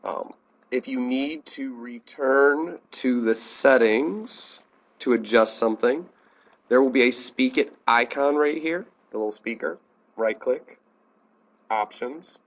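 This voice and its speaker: A man's voice: mid-range voice, takes it slowly. American guy teacher doing a screencast how-to, plain step by step explaining. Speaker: teacher giving a software tutorial. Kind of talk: instructional screencast narration.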